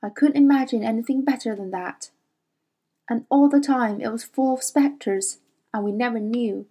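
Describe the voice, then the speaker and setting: A woman reading an audiobook, voicing a quiet, serious boy who talks tersely and awkwardly. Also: on the high side, moderate pace, breathy edge.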